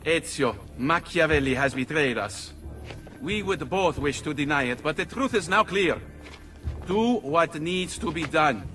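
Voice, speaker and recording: A grim, determined ally in a game drama. His voice is fairly high, sharp and insistent, over faint music. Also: animated, measured pace. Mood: grim urgency. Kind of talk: dramatic video game voice acting.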